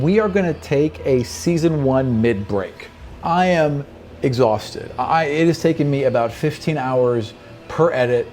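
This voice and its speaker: A man's voice: fairly high pitch, a little mellow, slight background noise. A man working as game master and podcast host, narrating a fantasy tabletop game in a conversational way. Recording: background music under the voice. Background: music.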